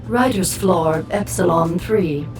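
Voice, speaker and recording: A woman playing an unhurried, patient, helpful traveler in a comic sci-fi audio drama. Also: lowish voice, gravelly, music in the background, rough recording.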